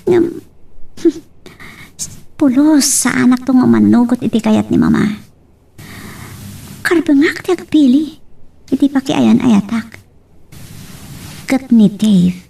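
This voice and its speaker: Woman acting a husky, lively young Filipino daughter. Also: high-pitched, slow, pauses often, heavily acted. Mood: pensive resolve. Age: young adult. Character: lovestruck, headstrong young daughter. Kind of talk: amateur radio-style drama acting. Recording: distant microphone.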